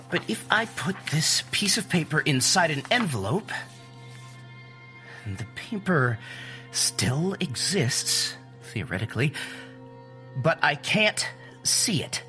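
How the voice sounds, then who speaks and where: An actor playing an earnest, cerebral scientist in an audio drama, explaining deliberately and searching for simple words. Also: male voice, fairly high pitch, bright, forceful tone, exaggerated voice. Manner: deliberate, groping for simple analogies. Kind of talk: naturalistic audio drama acting.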